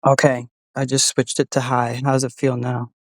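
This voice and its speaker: Casting: male demo host, middle-pitched, casual and conversational, dry close sound. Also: completely flat delivery, moderate pace, no echo. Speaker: host testing an AI voice assistant.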